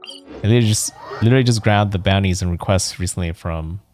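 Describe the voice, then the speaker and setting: A man's voice: low-pitched, fast, a little mellow, soft background music. A man streaming a let's play, musing to himself in casual commentary. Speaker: game streamer.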